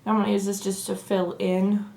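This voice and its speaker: A young woman with a Southern accent, hoarse from allergies, chatting casually through a makeup tutorial. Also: mid-range voice, moderate pace. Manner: chatty and relaxed, says "like" often. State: hoarse from allergies, losing her voice. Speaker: beauty vlogger. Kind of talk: casual get-ready-with-me tutorial.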